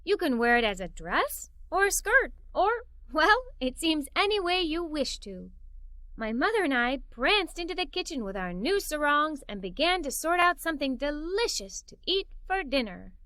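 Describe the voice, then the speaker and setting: A woman reading a children's story aloud in an animated, playful, emphatic way, as a young girl telling it. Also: sits high, moderate pace, no echo. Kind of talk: expressive read-aloud storytelling in a young girl's first person.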